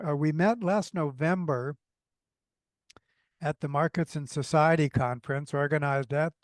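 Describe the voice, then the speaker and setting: A man hosting a podcast, introducing his guest with enthusiasm and a measured, lightly hesitant delivery. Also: medium pitch, no room sound. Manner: enthusiastic, measured, some fillers. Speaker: podcast host. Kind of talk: interview introduction.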